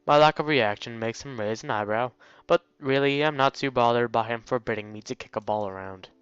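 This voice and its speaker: A man's voice: mid-range voice, fairly quick, fairly bright tone, clear, resonant tone, no echo, highs cut off, soft background music. A man hosting a let's play, reading a visual novel aloud and breaking off into jokey exclamations.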